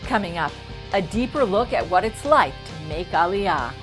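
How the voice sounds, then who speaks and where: A woman presenting a television news program in a lively, scripted way. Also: fairly low pitch, normal speed, music in the background. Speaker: news anchor.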